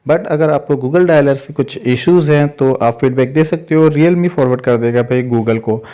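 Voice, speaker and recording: A man's voice: medium pitch, measured pace, dark tone. A man with an Indian accent hosting a tech channel, explaining answers to questions conversationally and addressing listeners as friends. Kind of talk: explanatory tech Q&A narration to viewers.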